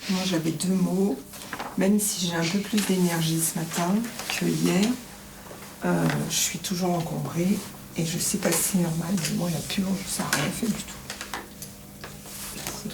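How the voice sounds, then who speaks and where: Tired middle aged woman, low gravelly voice, sounds far off in a roomy space with hiss behind. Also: steady tone, a little slow. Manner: weary, complaining, hesitant. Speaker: retreat participant. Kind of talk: sharing in a group circle. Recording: roomy, distant mic, clear noise.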